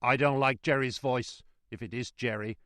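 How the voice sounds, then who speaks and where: A man voicing a gruff, suspicious, armed coach guard in a storybook reading, blunt and challenging. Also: medium pitch, normal speed, a bit bright, no echo, clean studio sound, natural voice. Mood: grumbling suspicion.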